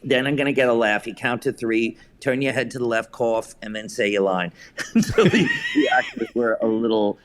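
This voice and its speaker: A man speaking as an interview guest and theatre director, telling casual anecdotes with searching pauses and quoted asides. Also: medium pitch, huge pitch swings. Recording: clear background noise.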